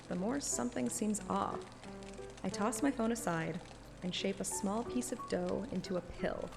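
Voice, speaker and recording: A woman playing a young heroine in a romance game, reading narration and dialogue aloud. She sounds playful and curious at an ordinary female pitch, with soft music in the background. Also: natural voice. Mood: mock suspicious. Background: light game music.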